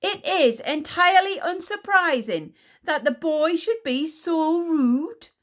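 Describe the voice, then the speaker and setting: A haughty warrior queen from a storybook, acted out by a woman reader. Her voice is high and forceful, with an imperious, indignant snap. She is hot-tempered and contemptuous. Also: expressive, takes it slowly, heavily acted. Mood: outraged.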